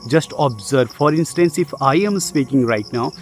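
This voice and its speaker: A man's voice: mid-range voice, expressive, normal speed. A young man with an Indian accent gives a casual spoken English lesson, earnestly repeating his points and often checking in with "okay?" between filler sounds. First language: Jharkhandi language. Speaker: English teacher.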